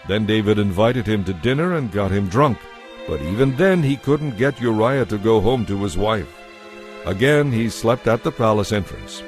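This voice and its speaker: A man narrating a dramatized audio Bible from a script, in a measured storytelling manner. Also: lowish voice.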